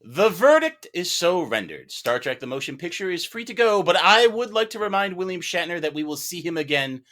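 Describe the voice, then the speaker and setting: A man's voice: sits high, very animated, a little fast, a bit bright. A talkative, enthusiastic man in a casual, playful film debate as a podcast judge and juror.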